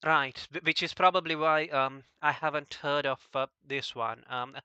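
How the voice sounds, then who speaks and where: A man conducting a casual interview in a halting way, often stammering over the starts of words. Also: fairly high pitch, fairly even intonation, pushed and bright, clean tone, no echo, lo-fi sound.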